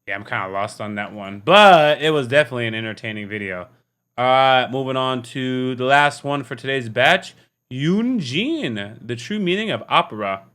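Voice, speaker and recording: A man's voice: mid-range voice, lively intonation, moderate pace, fairly bright tone. A man hosting a reaction video, talking casually and candidly in a rambling, tired way. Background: music.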